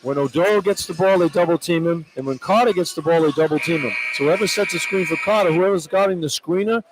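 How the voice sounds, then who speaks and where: A man's voice: a little high, quick pace, background noise. A man working as a radio color analyst, talking through a basketball game in a conversational way. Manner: repeats and restarts phrases to explain tactics. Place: indoor basketball arena.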